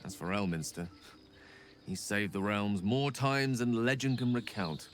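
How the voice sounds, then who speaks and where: A man plays a weary wizard from a fantasy video game. His voice sits on the low side, with formal, articulate phrasing and a reflective, fatalistic air. Faint music plays underneath. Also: fairly even intonation, a little slow, lightly acted. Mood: grave, resolved. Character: weary, eloquent male wizard facing his death. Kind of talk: video game dialogue acting.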